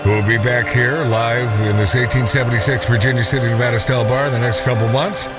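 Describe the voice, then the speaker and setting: Brash radio host over a music bed. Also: male voice, medium pitch, fairly even intonation, fast talker. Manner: brash, joking, hype-driven. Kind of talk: casual talk radio chat.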